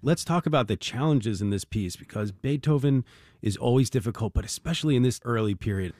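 A man's voice: medium pitch, brisk, darkish tone, no room sound, studio quality. A man hosting a radio music show, interviewing guests in a polished, encouraging way. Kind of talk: broadcast interview. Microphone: studio mic.

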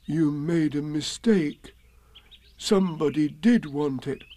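A man voicing a humble, slow-witted, gentle toy bear in a children's story, speaking in a mild, simple, hesitant way. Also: medium pitch, close to an everyday voice. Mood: solemn. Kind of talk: storybook character voice.

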